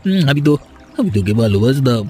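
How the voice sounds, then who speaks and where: A man's voice: medium pitch, big pitch swings, quick pace, a little mellow, lightly acted. A man voicing a hapless young village lover in a cartoon, pleading in a whining, stammering manner. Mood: desperate protest. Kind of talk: cartoon voice acting.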